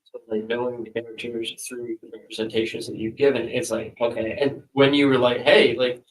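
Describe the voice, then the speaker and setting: A man's voice: medium pitch, flat delivery, a little fast, recorded from a distance. Guy chatting loose, lots of ums and restarts, roomy echo, kinda off mic. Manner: rambling with many fillers and restarts. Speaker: author. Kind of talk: casual chat.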